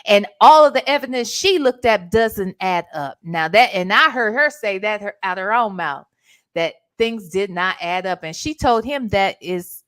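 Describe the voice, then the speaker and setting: A woman's voice: medium pitch, a bit bright. A woman hosting a livestream, giving casual, slangy, blunt commentary and reading out chat messages. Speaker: livestream host.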